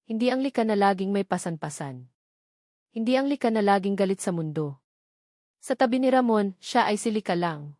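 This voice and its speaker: Female narrator for romantic audio drama, mid-range pitch, scripted storytelling, dry recording. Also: darkish tone, dry recording.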